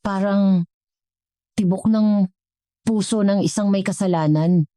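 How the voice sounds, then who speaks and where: A woman's voice: medium pitch, steady tone, slow, mellow, no echo, studio quality, voice pushed up, close to an everyday voice. Woman voicing an earnest, polite teenage shoeshine boy. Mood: quietly confronting.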